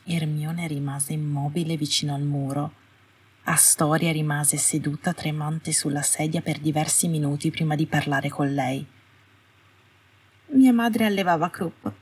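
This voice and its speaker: A woman's voice: deep voice, flat delivery, moderate pace, breathy edge. A woman reading a scripted story as an audiobook narrator. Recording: roomy, slightly distant home recording.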